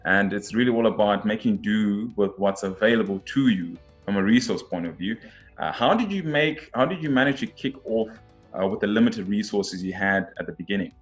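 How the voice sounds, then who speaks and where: Enthusiastic lower-voiced male interview host. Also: lively intonation, a little fast. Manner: enthusiastic, repeats words, many "uh" fillers. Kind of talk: interview hosting. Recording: dull lo-fi audio with faint guitar music.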